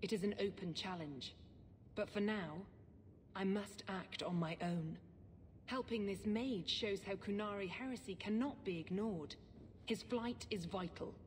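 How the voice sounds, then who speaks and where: An actress playing a stern, secretive, zealous church sister in a video game, speaking formally and gravely. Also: female voice, slow, natural voice. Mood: resolute. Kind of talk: video game dialogue acting. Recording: reverberant game audio with low rumble.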